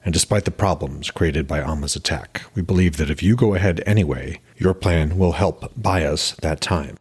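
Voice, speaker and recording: A man voicing a calm, implacable giant war chief in an even, measured manner for a fantasy audiobook. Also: extremely low pitch, breathy edge, pitched below the performer's own voice, exaggerated voice. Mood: calm, firm.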